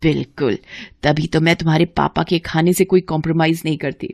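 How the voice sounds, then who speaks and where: A woman voicing a scornful, scheming middle-aged mother-in-law in a cartoon, with a sharp, haughty, scolding way of talking. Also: deep voice, steady tone, a little fast, vocal fry, dry recording, studio quality.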